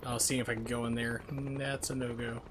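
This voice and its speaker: A man's voice: mid-range voice, level tone, a little fast, background noise. A man casually commentating on his live gameplay, with playful, rambling asides. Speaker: video game streamer. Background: video game sounds.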